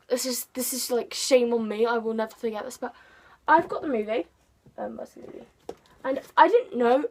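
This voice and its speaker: Brief: English woman, book vlogger, bright high voice, rambly and self-mocking. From England. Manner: chatty, rambling, self-deprecating, playful. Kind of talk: casual chat to camera.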